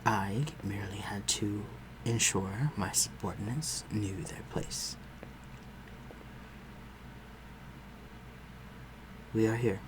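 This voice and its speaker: A man's voice: on the low side, steady tone, unhurried, natural voice. A man playing a protective, courtly vampire lord in an audio roleplay, speaking formally and tenderly to his human listener. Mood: gentle, attentive. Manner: formal, old-fashioned, tender and reassuring. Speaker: voice actor. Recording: clearly noisy, ambient sound behind voice.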